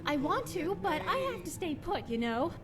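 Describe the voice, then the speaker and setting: A young adventuring merchant woman from a fantasy game, eager and bright-spirited. Her voice sits very high and swings widely in melody. Rain and thunder sound behind her. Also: very animated, moderate pace. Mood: eager, wistful. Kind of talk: video game character dialogue.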